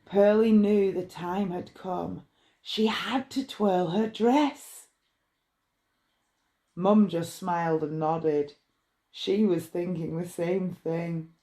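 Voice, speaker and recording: A woman reading a rhyming bedtime story in a measured, expressive way. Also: fairly low pitch. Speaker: storybook reader.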